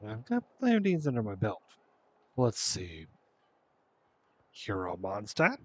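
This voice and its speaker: A man's voice: fairly low pitch, huge pitch swings, unhurried, husky. A man giving casual commentary as he plays a game, sometimes reading dialogue aloud. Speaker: gamer, playthrough host.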